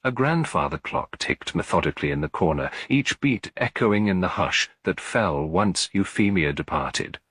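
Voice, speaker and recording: A man's voice: deep voice, expressive, moderate pace, few pauses, a bit bright, breathy edge, dry recording. A man reading a classic detective story as a scripted audiobook narration.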